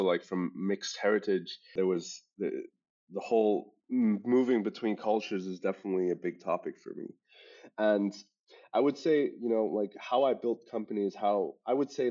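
A man from Hong Kong with an international school English accent, telling stories as an interview guest in a halting, self-correcting, emphatic way. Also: fairly low pitch, darkish tone. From born and raised in Hong Kong. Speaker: startup founder and interview guest. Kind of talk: conversational interview answers, storytelling. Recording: dull lo-fi podcast audio.

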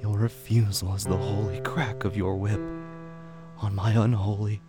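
A man playing the brooding narrator of a religious horror audio drama, speaking slowly and solemnly with intensity, at times near a whisper. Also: fairly low pitch, little pitch movement, lightly acted. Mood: solemn, tormented. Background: music with guitar.

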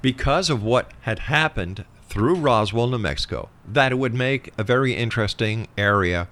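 A man's voice: mid-range voice, lively intonation, normal speed, fairly bright tone. A man hosting a talk interview, putting probing questions emphatically and conversationally to a guest he addresses as a friend. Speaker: interview show host.

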